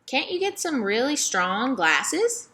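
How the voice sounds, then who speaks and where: A woman's voice: high voice, very animated, takes it slowly, fairly bright tone, pitched above the performer's own voice, exaggerated voice. A woman voicing an innocent, curious young girl who asks earnest, hesitant questions in a children's storybook. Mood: worried, curious. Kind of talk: children's novel read-aloud.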